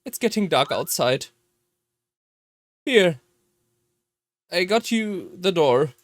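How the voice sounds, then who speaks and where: Man doing a high, hesitant polite host. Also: very animated, takes it slowly, no room sound, clean studio sound, exaggerated voice. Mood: nervous, helpful. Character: hesitant, considerate second host in a visual novel.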